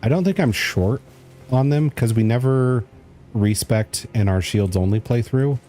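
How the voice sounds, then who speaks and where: A man's voice: mid-range voice, unhurried, mellow. A man streaming a video game, chatting casually and joking with a laugh in his voice. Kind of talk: casual chat while playing a video game.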